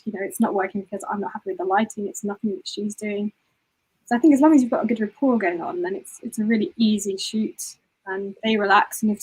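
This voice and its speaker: A woman's voice: medium pitch, slightly rough, rough recording. A woman photographer answering interview questions in a chatty way, often saying "you know" and restarting sentences. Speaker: guest photographer.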